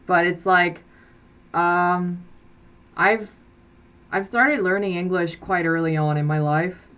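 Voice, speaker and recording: Low-voiced young woman chatting casually. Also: steady tone, slow pace, a bit bright, smooth. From South Korea. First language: Korean. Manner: rambling, many restarts and fillers. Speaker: livestream host. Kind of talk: casual live-stream chat. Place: bedroom with blackout curtain.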